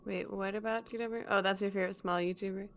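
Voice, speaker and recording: A woman co-streaming a game, chatting casually and reading game text aloud with lively emphasis. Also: mid-range voice, fast talker, a little hiss or hum. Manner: giggly, reads with lively rising emphasis.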